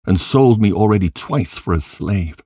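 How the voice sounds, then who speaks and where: A man's voice: deep voice, lively intonation, moderate pace, mellow, slightly rough, no echo, clean studio sound, voice pushed up, full character voice. A man reading scripture dramatically as an anguished young son, crying out and imploring in mourning. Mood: anguished pleading. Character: anguished young son pleading at his mother's grave.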